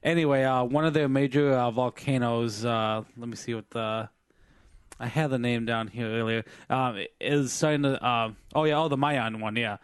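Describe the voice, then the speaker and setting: A man co-hosting a podcast, slightly hoarse from singing, reading out news stories in rambling casual chat full of fillers and restarts. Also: medium pitch, fairly even intonation, normal speed, no echo, clean studio sound. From Filipino family background. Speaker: podcast co-host.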